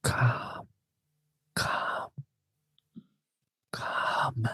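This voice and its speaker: A man voicing a menacing unseen presence in a radio play, luring in a breathy whisper. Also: drawn-out words, bright, forceful tone, no room sound. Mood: eerie, luring.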